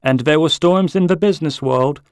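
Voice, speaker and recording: A man narrating a short story in an expressive, measured storytelling manner. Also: fairly high pitch, smooth, no echo, studio quality. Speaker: story reader.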